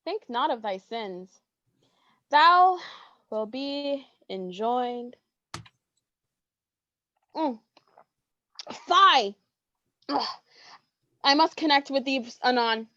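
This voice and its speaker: A woman's voice: sits high, expressive, pushed and bright, no room sound, dull audio, exaggerated voice. A woman acting a flighty, scheming young friend with theatrical mock-Shakespearean diction in a staged reading. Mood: exasperated. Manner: theatrical, drawn-out, archaic diction. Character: flighty, scheming, exasperated young female friend, matchmaking and cursing.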